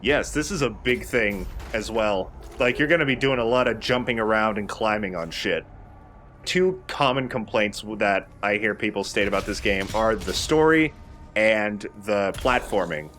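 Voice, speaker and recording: A man's voice: lowish voice, moderate pace, a bit bright, a little hiss or hum. A man giving casual, enthusiastic gameplay commentary as a let's play host, with some casual swearing.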